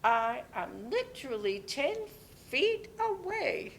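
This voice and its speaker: High-pitched fussy motherly older neighbour played by a woman. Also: very animated, takes it slowly, creaky, slight background noise, full character voice. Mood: awkwardly caring. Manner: doting, chatty, fretful. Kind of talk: dramatic play reading.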